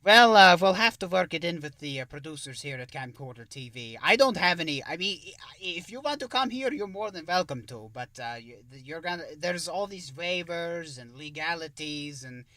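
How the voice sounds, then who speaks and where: A man's voice: on the high side, animated, normal speed, few pauses, sharp tone, no echo, far from the mic. A man playing a genial, self-important thousand-year-old vampire who hosts an interview show in a theatrical, effusive manner. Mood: genial, playful. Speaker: show host. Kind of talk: in-character interview hosting.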